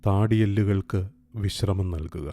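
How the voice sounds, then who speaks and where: A man guiding a meditation in a calm, gentle, soothing way, leaving long pauses. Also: low voice. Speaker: meditation guide. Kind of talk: guided meditation, slow soothing instruction. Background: soft meditation music with singing bowl.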